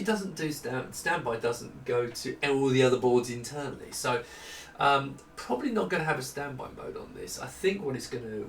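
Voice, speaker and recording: A man in his fifties giving a casual, unscripted product walkthrough, explaining haltingly as he thinks aloud. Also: medium pitch, gravelly, a bit bright. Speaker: maker presenting a product prototype. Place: small home office room. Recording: roomy, distant mic, faint noise.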